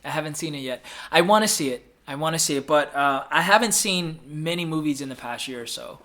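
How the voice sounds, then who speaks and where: A man's voice: on the high side, lively intonation, brisk, pushed and bright. A man in his thirties hosting a casual live Q&A, chatty and upbeat, reading viewer comments and often repeating himself. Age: 30s. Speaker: livestream host answering viewer questions.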